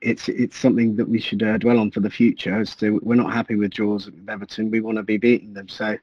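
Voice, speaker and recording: A man's voice: lowish voice, little pitch movement, fast talker, no echo. Stammery thoughtful guy on a podcast, dead dry room, a bit muffled. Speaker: podcast guest. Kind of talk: casual podcast chat.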